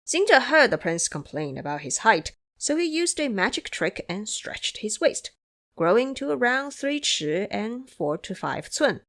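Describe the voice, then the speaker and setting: A woman's voice: fairly high pitch, very animated, measured pace, fairly bright tone. A woman narrating a scripted audio drama as a storyteller.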